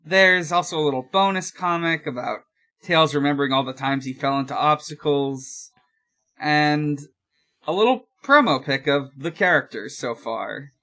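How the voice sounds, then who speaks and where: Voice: mid-range voice, moderate pace, pushed and bright. A podcast co-host casually recapping a story in a hesitant, matter-of-fact way. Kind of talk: casual recap of a fan comic.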